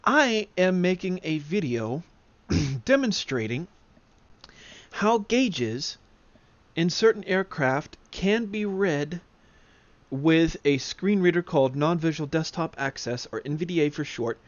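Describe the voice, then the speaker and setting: Higher-voiced man demonstrating on a dull recording. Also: lively intonation, no echo. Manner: halting, frequent throat clearing. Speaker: demonstrator, flight simulator enthusiast. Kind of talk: casual spoken tutorial demonstration. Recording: dull lo-fi close dry recording.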